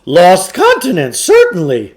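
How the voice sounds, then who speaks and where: Guy doing a storybook huckster shopkeeper, pompous sales patter, flattering, high voice swooping all over. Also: measured pace, heavily acted. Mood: salesman patter. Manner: theatrical salesman patter with hesitant asides. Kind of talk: storybook character voice.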